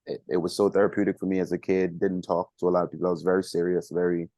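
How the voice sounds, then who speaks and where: A man talking quietly and reflectively as a podcast guest. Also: deep voice, level tone, rapid-fire, no room sound. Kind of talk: casual interview talk.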